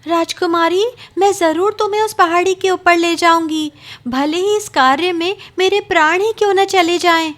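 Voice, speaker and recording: A woman voicing a distressed, gentle fairy mother in a cartoon story, speaking in a tearful, pleading way. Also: very high-pitched, normal speed, voice pushed up. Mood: devoted.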